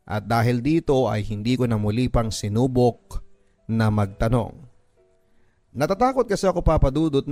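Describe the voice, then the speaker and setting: A man narrating a listener's letter in first person for a radio drama, reading it dramatically and with feeling, slowing down at the sad parts. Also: mid-range voice, darkish tone, no room sound. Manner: emotive, slowing on sad moments.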